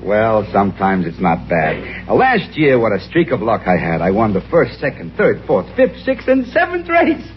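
An actor playing a pompous, penniless music maestro in a 1950s radio sitcom, stammering when caught out. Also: male voice, fairly low pitch, wildly swooping pitch, normal speed, hardly any pauses, a little hiss or hum. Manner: pompous, then sheepish, with comic stammers. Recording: dull old radio broadcast with studio audience.

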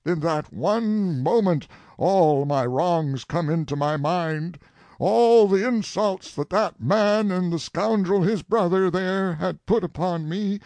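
A man's voice: sits high, expressive, dry recording. A man acting a raging old country man confessing. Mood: anguished raging. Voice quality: folksy rustic dialect. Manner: slow, forceful, impassioned.

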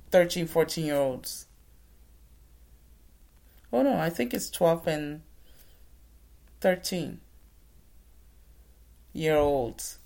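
A woman from Ghana speaks to an audience about her own life. Her voice sits quite low and sounds deep. She speaks with earnest, preacher-like conviction and addresses the listeners directly. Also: vocal fry. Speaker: motivational speaker. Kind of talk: inspirational storytelling talk.

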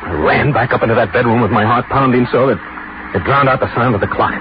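A man's voice: medium pitch, quick pace, background noise, natural voice. An actor with an American accent playing an anxious, weak-willed lovesick man narrating a 1940s radio thriller, hesitant and intense. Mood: tense, fearful. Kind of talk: 1940s radio suspense acting with first-person narration. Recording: muffled 1940s radio recording, music behind.

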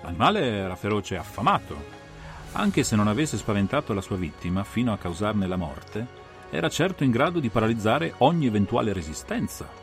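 A male narrator acting out a composed master detective from an audiobook drama. The voice is low and gravelly, with highly animated intonation. He explains things methodically and with great assurance. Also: a little fast, faint music behind. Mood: assured, analytical. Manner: assured, methodical, expository, theatrical phrasing.

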